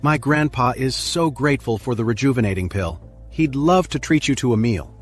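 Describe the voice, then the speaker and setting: A man's voice: mid-range voice, animated, fairly quick, soft background music, close to an everyday voice. A man voicing a polite, respectful young woman from a rich family in an audiobook. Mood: respectful, hopeful. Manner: respectful, courteous.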